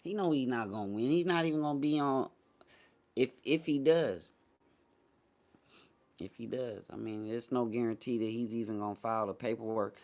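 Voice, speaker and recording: A man's voice: mid-range voice, normal speed, long pauses, darkish tone. An American man hosting a casual livestream talk show, speaking in a blunt, slangy, dismissive way. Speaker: host of a morning talk show.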